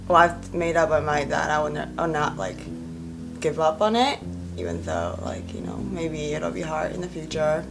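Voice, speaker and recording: Low-voiced young woman, chatty and rambling. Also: animated, normal speed, vocal fry, music in the background. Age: 20s. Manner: rambling, many "like" and "you know" fillers. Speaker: actress. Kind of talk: casual interview answers.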